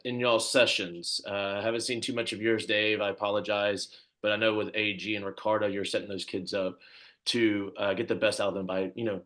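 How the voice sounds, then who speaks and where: Male host, lowish voice, casual and filler-heavy, runs a coaches' video call. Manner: chatty, lots of fillers, warmly thanks others. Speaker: club coach hosting the coach introductions.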